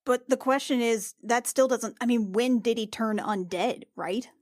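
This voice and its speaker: A woman playing a tabletop roleplaying game, improvising casual in-character chat in a halting, sweary way. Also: a little high, darkish tone, dry recording, studio quality.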